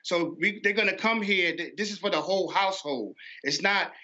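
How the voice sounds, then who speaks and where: A man's voice: high-pitched, brisk, pushed and bright. A man speaking as an interview guest about his own venture, often repeating words and phrases, on dull lo-fi audio. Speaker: guest promoting an animation platform. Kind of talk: interview conversation.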